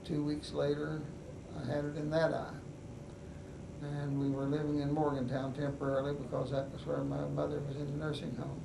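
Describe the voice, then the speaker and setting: An older man with a West Virginian accent recalls his life slowly, pausing often. His voice is gravelly and fairly high, with little rise and fall. He sounds set back from the microphone in an echoey room with steady background noise. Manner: unhurried, halting, many pauses and fillers. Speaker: veteran interviewee. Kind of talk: oral history recollection. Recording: echoey room, mic at a distance, noisy.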